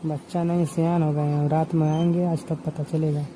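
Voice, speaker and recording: A man's voice: a little high, flat delivery, normal speed, warm tone. A man, talking informally on the phone in an insistent, repetitive way. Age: 20s. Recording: noisy phone call recording.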